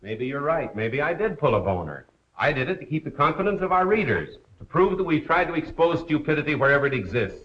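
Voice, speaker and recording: A man playing a confident, wisecracking crime columnist in a brisk, polished 1930s film acting style. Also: fairly high pitch, big pitch swings. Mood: earnest, defiant. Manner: brisk, self-assured. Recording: dull lo-fi 1930s film soundtrack.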